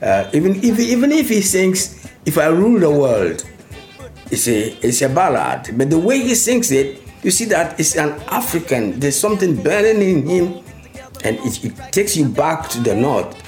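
Excitable older man. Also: on the high side, normal speed, faint music behind. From Ghana. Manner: excitable, repeats words as he searches for them. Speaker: veteran musician. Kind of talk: interview reminiscence.

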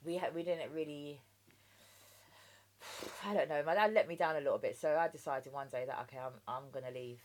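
A woman's voice: low-pitched, fairly quick, a little mellow. A woman in her thirties with a London accent, born in Jamaica, chatting candidly as a guest on an interview podcast. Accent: London English. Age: 30s. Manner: chatty and candid, with lots of "do you know what I mean". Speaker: guest entrepreneur.

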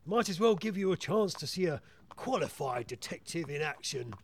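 A man's voice: on the high side, expressive, moderate pace, creaky, husky, exaggerated voice. A man playing an exasperated, self-important Victorian police inspector who stammers and gets indignant in an audio comedy. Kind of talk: scripted audio comedy acting.

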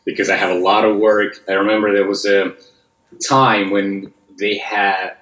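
A Russian-accented man with a very low voice talks casually as a guest, upbeat and now and then hunting for the right English word. English is not his first language. His voice rings in a noticeably roomy space. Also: little pitch movement, moderate pace, a bit bright. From West Siberia, Russia. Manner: upbeat, sometimes searching for English words. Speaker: guest actor. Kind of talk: casual interview chat.

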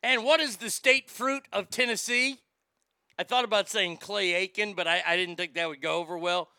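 A man's voice: high voice, steady tone, moderate pace, pushed and bright, clear, resonant tone, no room sound, studio quality. A man in his fifties hosting a morning radio show with loose, crude, joking banter. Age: 50s.

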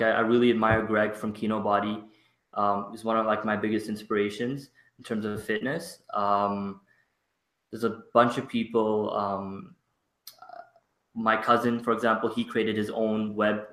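A man's voice: lowish voice, flat delivery, bright, forceful tone, echoey room, rough recording. A young man from Canada, a guest in a casual conversation, speaking hesitantly with lots of fillers. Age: young adult. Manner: hesitant, halting, many fillers.

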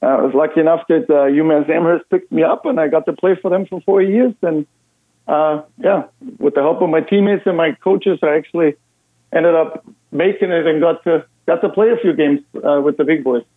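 A man's voice: fairly high pitch, brisk. A man in his thirties from Austria with an Austrian German accent, a radio guest talking earnestly with a lot of fillers. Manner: earnest, relaxed, many fillers. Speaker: insurance broker, radio guest. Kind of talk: phone-in radio interview. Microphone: phone. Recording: tinny phone line.

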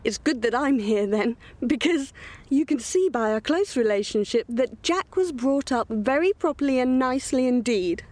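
A woman's voice: high voice, expressive, moderate pace, darkish tone, no echo. A woman playing a sugary, controlling mother of a grown son in a comedy audio drama, cooing and bossy. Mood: smugly sweet.

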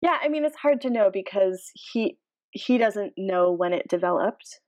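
A woman's voice: mid-range voice, animated, moderate pace, darkish tone, no room sound. A woman co-hosting a sports podcast in casual, conversational chat. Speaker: podcast co-host.

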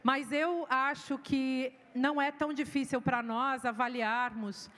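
A fairly high-voiced woman talking as a guest on a debate panel, in an even, flat tone. She thinks aloud and pauses as she speaks. A long reverb from the hall follows her words. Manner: thoughtful, with hesitations. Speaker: invited panel speaker, philosopher. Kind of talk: spontaneous panel talk. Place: lecture hall with audience.

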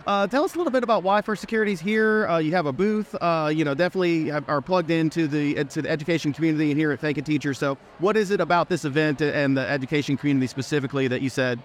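A man hosting a podcast at a live event, upbeat and quick with restarts. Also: a little high, slight background noise. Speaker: podcast host. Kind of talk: casual live interview. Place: outdoor event fair.

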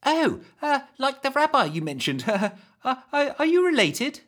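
A man's voice: very high voice, big pitch swings, measured pace, a bit bright, pitched above the performer's own voice, full character voice. A man voicing a nervous young woman adventurer who stammers and stutters, in a storybook reading. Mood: startled.

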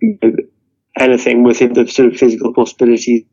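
Mellow-voiced man arguing his case. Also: mid-range voice, animated, fast talker. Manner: earnest, argumentative, leans on "you know". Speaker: interview guest. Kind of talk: political discussion. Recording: dull lo-fi audio.

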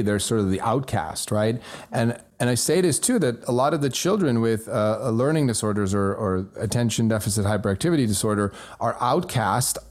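A man who grew up in Switzerland and speaks German as his first language, talking in a casual conversation with emphatic rises in pitch on key points. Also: darkish tone.

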